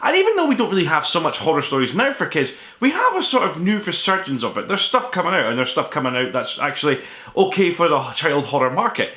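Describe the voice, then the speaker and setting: Male vlog host, young adult, casual and self-deprecating, brisk delivery with a bright edge, informal review style. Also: a little high, wildly swooping pitch. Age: 20s to 30s. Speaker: book review show host. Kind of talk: casual book review to camera. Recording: echoey room.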